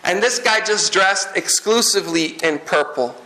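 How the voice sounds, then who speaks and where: Man from Guyana, sermon, high bright voice, lively joking delivery, echoey church. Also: normal speed. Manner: lively, humorous preaching with asides. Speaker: pastor.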